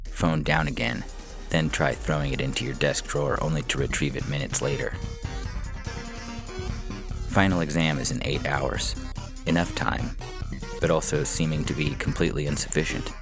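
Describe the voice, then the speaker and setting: A man's voice: very deep voice, lively intonation, moderate pace, vocal fry, pauses often. A man narrating a scripted short story as a podcast reader, in the second person. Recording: music bed under the voice. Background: music.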